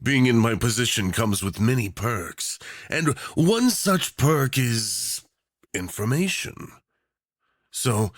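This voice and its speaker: A man's voice: medium pitch, no room sound, studio quality, heavily acted. A man acting a smug, menacingly affectionate yandere tyrant in an ASMR roleplay, theatrical and mock-sweet, drawing out his endearments. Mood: smug, doting.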